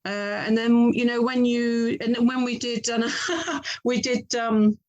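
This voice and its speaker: A woman's voice: a little high, flat delivery, measured pace, darkish tone, lo-fi sound. A middle-aged woman with an English accent answers interview questions conversationally, in a chatty, reflective way, often interrupting herself. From England. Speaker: author and audio drama producer being interviewed.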